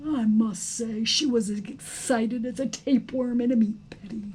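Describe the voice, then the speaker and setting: A woman reading a storybook aloud with deliberate, dramatic expression, like a teacher reading to students. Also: on the high side, fairly even intonation, darkish tone, slight background noise.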